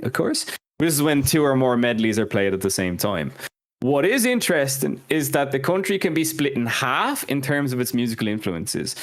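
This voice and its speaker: A man co-hosting a music history podcast, talking casually through scripted notes and joking about his own mispronunciations. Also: mid-range voice. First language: Irish and English speaker. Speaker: podcast co-host.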